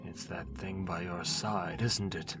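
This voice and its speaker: A man's voice: low voice, fairly even intonation, a little slow, gravelly, background noise. A man voicing a proud sorcerer bound in a crypt, commanding and bargaining. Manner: imperious, bargaining. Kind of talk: audio drama acting. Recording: music underneath. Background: background music.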